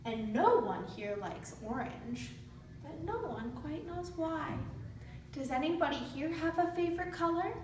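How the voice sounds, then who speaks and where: High-pitched woman teacher in an echoey room. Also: animated, unhurried. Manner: simple instructional phrasing, often prompting listeners with questions. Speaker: student teacher reading aloud to a class. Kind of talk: read-aloud reading lesson for young children. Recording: very echoey room, distant mic, faint noise.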